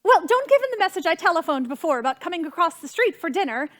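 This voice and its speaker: A woman's voice: very high voice, big pitch swings, quick pace, fairly bright tone, exaggerated voice. A woman playing a fretful, imperious society hostess and mother in a theatrical period stage comedy, gushing and dramatic. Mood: urgent, flustered. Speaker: stage actress.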